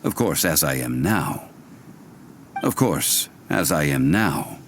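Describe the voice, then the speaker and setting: A man's voice: rumbling low, animated, takes it slowly, slight background noise, full character voice. A man playing an ancient, dignified god living as a mortal consultant in a video game, speaking formally and solemnly with archaic turns of phrase. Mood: calm, reflective. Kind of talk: video game character dialogue, measured storytelling. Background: music.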